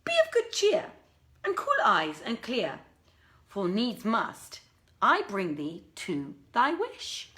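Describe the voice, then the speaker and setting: Woman as a motherly old go-between, pitched up. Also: huge pitch swings, slow, fairly bright tone, echoey room, exaggerated voice. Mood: encouraging. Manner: tender, dramatic, archaic phrasing.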